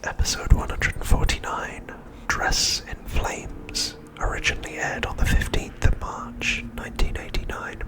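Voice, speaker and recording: A man narrating an ASMR recap in a soft, breathy, unvoiced whisper, hushed and unhurried. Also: rough recording. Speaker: ASMR host.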